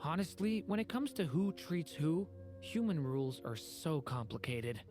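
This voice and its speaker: An actor playing a gentle, earnest young male dragon in human form in a video game, polite and soft-hearted. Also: medium pitch, big pitch swings, moderate pace, close to an everyday voice. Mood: mild, friendly. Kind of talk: video game character acting. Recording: game music behind. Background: game music.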